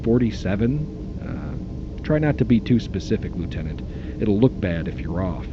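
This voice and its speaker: An actor playing a busy, wry starship first officer in a science fiction audio drama, dry and authoritative. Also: male voice, lowish voice, big pitch swings, moderate pace. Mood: wry, official. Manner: dry, sardonic, muttering to himself. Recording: dull lo-fi sound with faint noise.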